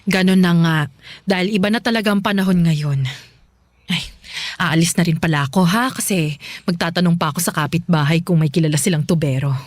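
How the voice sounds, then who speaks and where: A woman acting as a kindly, concerned aunt in a Filipino radio drama, with a chatty, motherly and expressive way of talking. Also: fairly low pitch, brisk, natural voice.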